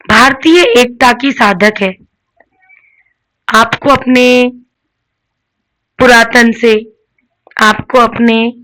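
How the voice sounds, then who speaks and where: Lady teacher, slow and patient, bit high voice, asks then answers herself. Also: bright, forceful tone, rough recording. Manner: mixes English words into Hindi. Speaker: Sanskrit teacher. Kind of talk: classroom-style lesson.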